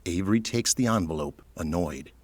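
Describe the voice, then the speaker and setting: Casting: male narrator for audio drama, deep voice, scripted scene-setting read. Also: expressive, normal speed, a little mellow, husky, no room sound.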